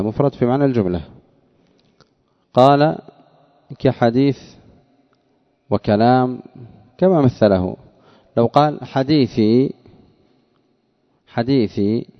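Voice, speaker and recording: A man teaching grammar in a lecture, explaining a text line by line in a didactic way and repeating phrases for emphasis. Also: lowish voice. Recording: dull lo-fi sound.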